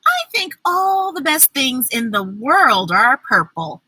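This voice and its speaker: A woman reading picture books aloud to children in an exuberant, playful way, with drawn-out words, sound effects and chatty asides. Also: sits high, sing-song, bright, forceful tone, breathy edge, no room sound. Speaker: children's storybook reader and host.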